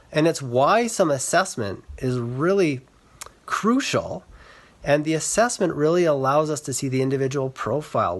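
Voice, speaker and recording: Man, medium pitch, educator, earnest explanatory delivery, measured phrasing, social media talk. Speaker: reading specialist and educator.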